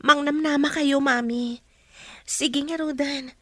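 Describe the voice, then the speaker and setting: A woman's voice: sits high, steady tone, takes it slowly, background noise. A woman playing a dutiful young student new to the city, in a radio drama. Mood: reassuring. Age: young adult. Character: dutiful young female student, reassuring but a bit fussy.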